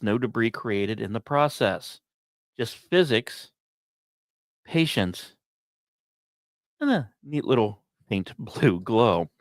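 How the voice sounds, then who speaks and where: Male podcast host, mid-pitched and very animated, emphatic scripted science commentary, dry recording. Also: no room sound.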